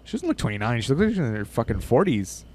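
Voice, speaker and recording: A man's voice: medium pitch, sing-song, quick pace, darkish tone, a little hiss or hum. A young man hosting a casual podcast chat, swearing freely and reading out lists of names and numbers. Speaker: podcast host.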